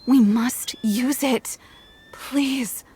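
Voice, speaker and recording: A young female werewolf in a dramatic game story. Her voice sits fairly high, and she speaks with earnest hope and firm resolve, pleading for her cause. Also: drawn-out words, mellow, husky, exaggerated voice. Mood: urgent, pleading. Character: determined, hopeful young female werewolf seeking freedom. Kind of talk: dramatic game cutscene acting.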